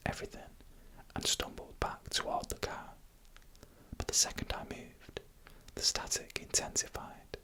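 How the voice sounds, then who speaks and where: A storyteller who never rises above a whisper, with a bright, crisp edge to the breath. The delivery is soft and unhurried ASMR narration, spoken close to the microphone for headphone listening. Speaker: narrator of scary UFO encounter stories.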